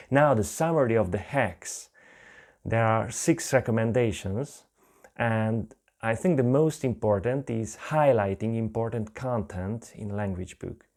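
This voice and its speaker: A middle-aged man from Hungary with a Hungarian accent, giving a measured conference talk over slides from a webcam at home. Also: lowish voice, darkish tone. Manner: careful, measured non-native phrasing. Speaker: conference presenter.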